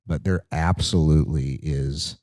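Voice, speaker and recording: A man co-hosting a live podcast panel, explaining in a relaxed, casual way. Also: extremely low pitch, unhurried, dry recording, clean studio sound. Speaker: podcast co-host, industry physician-scientist.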